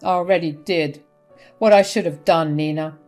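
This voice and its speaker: A woman's voice: on the low side, fairly even intonation, normal speed, darkish tone, lightly acted. A woman voicing a stern middle-aged husband who reproaches his wife, over faint music.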